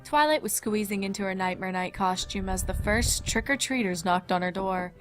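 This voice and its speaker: A woman's voice: mid-range voice, normal speed, a little hiss or hum. A woman reading fan fiction aloud as scripted story narration.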